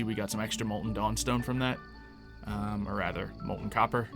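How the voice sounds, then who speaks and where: A man giving casual, chatty gameplay commentary as a let's-player, thinking aloud as he goes. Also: on the low side. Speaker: video game let's-player.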